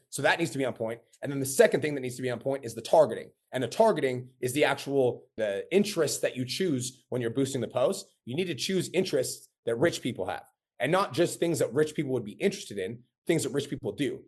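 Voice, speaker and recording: A man's voice: mid-range voice, lively intonation, quick pace, hardly any pauses, husky. A man teaching a live coaching webinar, blunt and casual with frequent profanity. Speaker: business coach.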